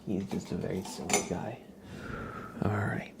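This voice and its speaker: Softly whispering, creaky-voiced ASMR man. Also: level tone. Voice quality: breathy whisper mixed with soft low murmur. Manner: gentle, repetitive, unhurried murmuring. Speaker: ASMR livestream host. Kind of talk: ASMR, soft whispered livestream chat.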